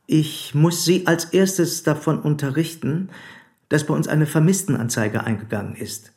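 An actor playing a probing, formal police commissioner in a German radio play, speaking deliberately and insistently. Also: male voice, on the high side, darkish tone, lightly acted. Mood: stern, measured.

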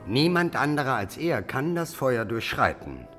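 An actor playing a scheming, hate-consumed illegitimate half-brother of a king in a fantasy radio drama, flattering and insinuating. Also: mid-range voice, faint music behind. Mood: persuasive scheming. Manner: flattering, insinuating, deliberate emphasis.

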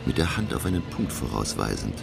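An actor playing a learned professor in a radio play, recounting an undersea voyage with measured, expressive, wonderstruck storytelling. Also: male voice, rumbling low, gravelly, loud music behind the voice, exaggerated voice. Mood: gripped, wondering. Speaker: narrator and actor. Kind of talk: dramatic radio play narration.

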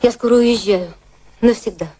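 A woman's voice: a little high, normal speed, dark tone, lightly acted. A woman acting in a radio drama, playing an elegant, worldly society lady with a refined, intimate manner. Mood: tender, resigned. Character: elegant high-society English lady, poised, worldly, secretly passionate. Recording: radio play with music behind.

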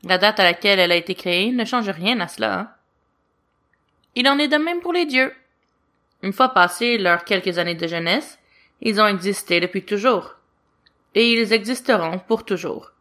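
A woman narrating a story as an audiobook reader, with expressive storytelling delivery. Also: mid-range voice, moderate pace, long pauses. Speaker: audiobook reader of fan fiction.

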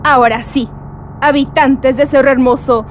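Woman acting a playful young Mexican woman, high voice. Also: fairly even intonation, slight background noise, lightly acted. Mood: excited, hopeful. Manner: playful, teasing, quick to laugh. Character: cheeky, excited young woman graduate coming home. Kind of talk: radio drama acting.

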